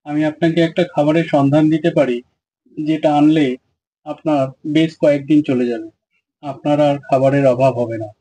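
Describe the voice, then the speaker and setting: A man's voice: a little high, steady tone, moderate pace, mellow, slightly rough, low-quality recording. Man as a humble, placating cartoon elephant. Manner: deferential, placating. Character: slow, humble elephant flattering a tyrant. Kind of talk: children's cartoon voice acting.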